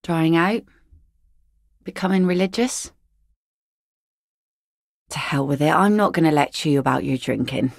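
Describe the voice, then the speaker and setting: A woman voicing an easygoing, philosophical seafaring mother in a video game, with a wry, gently teasing, folksy manner. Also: deep voice, animated, unhurried, gravelly, long pauses, dry recording, studio quality, exaggerated voice. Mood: wry, gentle. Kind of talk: naturalistic video game dialogue acting.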